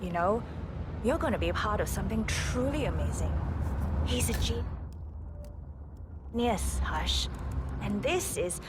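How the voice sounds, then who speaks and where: A woman's voice: medium pitch, lively intonation, unhurried, long pauses, close to an everyday voice. Woman playing an anxious lab assistant, noisy background. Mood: earnest, anxious. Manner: hesitant, pleading. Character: anxious, devoted female lab assistant, cautious and protective. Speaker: video game voice actor. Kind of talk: dramatic video game acting. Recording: clear background noise.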